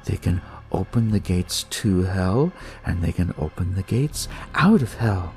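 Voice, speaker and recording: A man voicing a smug young devil-cult leader in an audio story, with drawn-out, ceremonious pronouncements. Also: deep voice, animated, a little mellow, soft background music. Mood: ominous, grandiose. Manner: slow, drawn-out, ritual pronouncements.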